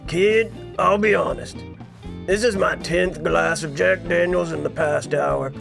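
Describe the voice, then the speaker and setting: A man voicing a blunt, hard-drinking, divorced old barkeep type with a gravelly voice in a comedic anime parody dub. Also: fairly high pitch, a little slow, music in the background. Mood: drunken rambling. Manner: blunt, rambling, drunken deadpan.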